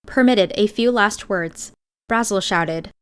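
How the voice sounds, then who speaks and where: A woman's voice: mid-range voice, takes it slowly, smooth. Woman narrating a fiction audiobook. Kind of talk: scripted first-person fiction narration.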